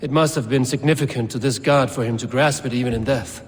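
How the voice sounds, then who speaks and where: An actor playing a grim, shrewd male Viking raider in a video game, speaking in a measured, terse way. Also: medium pitch, steady tone, close to an everyday voice. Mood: grim, analytical.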